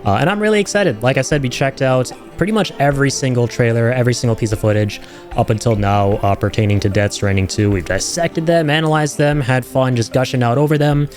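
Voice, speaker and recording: Man streamer, casual excited commentary, rambles a bit, some music under him. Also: medium pitch, fast talker. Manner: chatty, enthusiastic, rambling. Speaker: streamer and video host reacting to game trailers.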